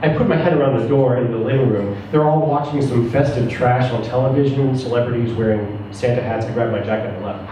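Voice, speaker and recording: Guy reading a short story out loud, mellow voice, super echoey room, faint noise. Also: mid-range voice, quick pace, hardly any pauses. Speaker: story reader at a live reading. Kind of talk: dramatic reading of a first-person short story. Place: echoey room, away from the mic. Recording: roomy, echoing, distant mic, faint noise.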